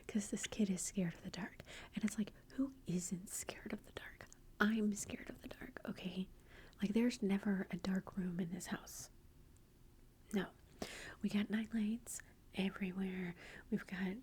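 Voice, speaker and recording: A woman, a mother, telling a story about a movie in a soft, chatty whisper full of fillers. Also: mid-range voice, a little hiss or hum.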